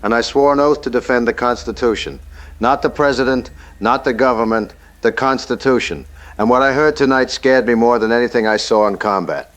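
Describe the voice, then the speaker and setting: A man's voice: mid-range voice, brisk, a bit bright, natural voice. A man playing a grave, resolute military veteran calling in to talk radio. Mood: grave, emphatic. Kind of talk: radio call-in drama acting.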